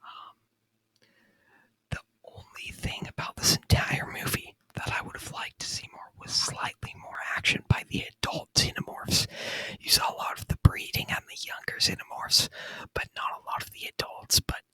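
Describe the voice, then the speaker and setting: A man whispering softly and breathily in an unhurried ASMR review style, close to the microphone. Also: no echo, low-quality recording. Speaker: ASMR video host.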